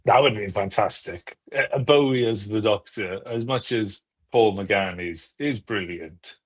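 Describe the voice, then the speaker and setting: A man with a slightly low voice chats as a podcast panelist. He is enthusiastic and excitable, talking in a loose, casual way. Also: measured pace, breathy edge. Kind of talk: casual chat.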